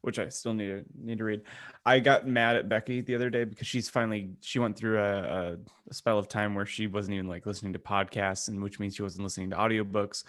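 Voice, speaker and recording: A man's voice: fairly low pitch, level tone, fast, fairly bright tone, dry recording. A man co-hosting a podcast, chatting casually and informally. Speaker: podcast co-host. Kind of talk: casual chat.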